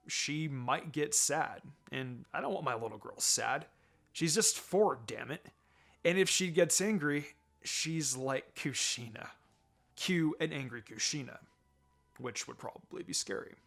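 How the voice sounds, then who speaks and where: A man reading a story aloud in an expressive, dramatic way as its narrator. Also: a little high, a little slow, vocal fry. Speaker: fan fiction reader and narrator.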